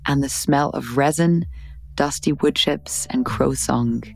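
A podcast host reading scripted, evocative narration in a measured, even way. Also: mid-range voice, vocal fry, husky. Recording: soft music underneath.

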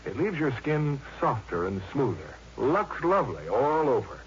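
An American man announces a radio commercial in polished 1950s style. His intonation is very lively, and the vintage broadcast is dull with faint noise. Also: mid-range voice, a little slow. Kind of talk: 1950s radio advertisement read.

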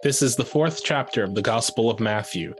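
A man giving a scripted, dramatic scripture narration with measured, solemn, deliberate phrasing. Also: mid-range voice. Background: faint music.

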